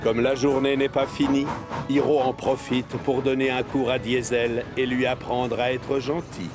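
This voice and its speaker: A man narrating a children's animated story in an expressive storyteller's manner. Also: medium pitch, normal speed. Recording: music behind the voice. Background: music.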